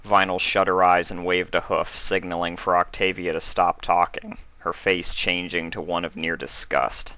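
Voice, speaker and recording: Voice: low-pitched, level tone, moderate pace, few pauses, pushed and bright, no room sound. A narrator reading a scripted story aloud as narration. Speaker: narrator and reader.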